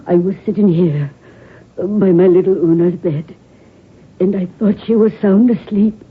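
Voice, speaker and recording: An actress playing a distraught, deferential Irish peasant maidservant in a radio drama, her voice husky. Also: medium pitch, unhurried. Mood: shaken. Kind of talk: 1970s radio drama acting. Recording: thin tinny 1970s radio broadcast.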